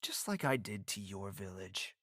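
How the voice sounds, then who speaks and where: A man acts out a haughty prince for an audio drama. His delivery is clipped and deliberate, with a guarded core under the authority. The sound is very dry and close. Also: sits low, sing-song, sharp tone, no room sound, exaggerated voice. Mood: cold, commanding. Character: proud, domineering prince with a guarded soft side.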